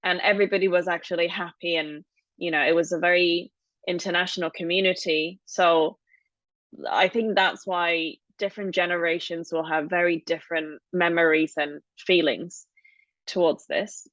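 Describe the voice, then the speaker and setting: A woman's voice: fairly low pitch, steady tone, normal speed, a bit bright, dry recording, dull audio. A woman in her thirties from Hong Kong, co-hosting a podcast and telling her family's story in a thoughtful, explanatory way. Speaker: podcast co-host. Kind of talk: casual podcast conversation.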